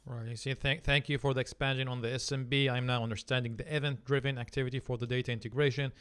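The courteous thirty-something man who fields the chat questions on an online tech show, his smooth, clean voice close on the mic. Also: mid-range voice, flat delivery, quick pace, a bit bright. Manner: appreciative, polite. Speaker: livestream host. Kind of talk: hosting, reading out audience comments. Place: home office. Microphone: close podcast microphone.

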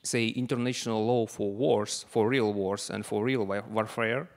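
A man in his thirties from Russia with a Russian accent, speaking on a conference panel into a handheld mic with halting phrasing and many fillers. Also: fairly low pitch, steady tone, vocal fry. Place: large conference room. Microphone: handheld microphone.

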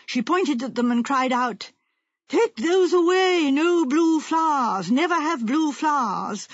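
A woman's voice: high voice, animated, slow, no echo, lo-fi sound, voice pushed up. A woman voicing a peevish, self-pitying, imperious wife with dramatic, complaining emphasis in an audiobook story. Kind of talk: audiobook character voice.

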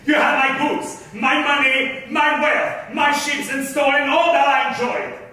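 A man's voice: extremely high pitch, little pitch movement, measured pace, pushed and bright, exaggerated voice. A man playing a wronged, defiant rich merchant in a staged reading of old verse, declaiming with passion. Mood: bitter pleading. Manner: declamatory, impassioned. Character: wronged, bitter, defiant wealthy merchant of Malta. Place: theatre stage in a hall. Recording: echoey stage, distant microphone.

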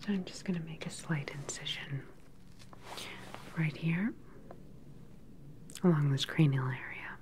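A young woman playing a calm, clinical evil scientist in an ASMR sci-fi roleplay, speaking softly and soothingly, close to the mic. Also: deep voice, background noise. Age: young adult. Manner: soft, soothing, unhurried personal attention. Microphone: close microphone, leaning in near camera.

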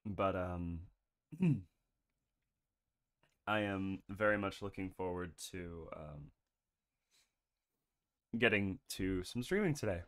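A man's voice: sits low, a bit bright. A man streaming a game, chatting casually and hesitantly with many ums while he has a cold. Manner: halting, full of ums, nervous laughs. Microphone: desk microphone.

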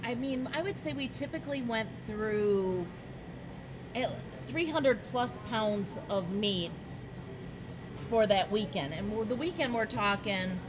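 A woman's voice: a little high, animated, unhurried, background noise. A middle-aged woman guest telling stories with emphasis in a casual chat at a farm shop table. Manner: storytelling, emphatic, laughs. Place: farm market shop, indoors.

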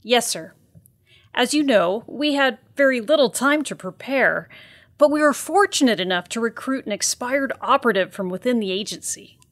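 A woman's voice: fairly high pitch, lively intonation, normal speed, lightly acted. A woman playing an earnest government special agent in an audio drama, formal, businesslike and persuasive. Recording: echoey room, faint noise.

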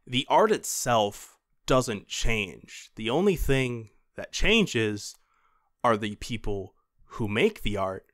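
A man delivers opinionated commentary as the host of a video essay. His mid-pitched voice moves up and down a lot, and he leans emphatically on key words. The sound is dry, with very little room in it. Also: sing-song, slow pace, fairly bright tone, dry recording.

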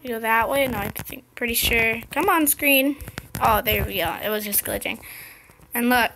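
A young girl commentating her gameplay in a casual, excited, chatty way, her voice slightly scratchy. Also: a little high, normal speed. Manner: excited, chatty, impatient exclamations. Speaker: gameplay video host.

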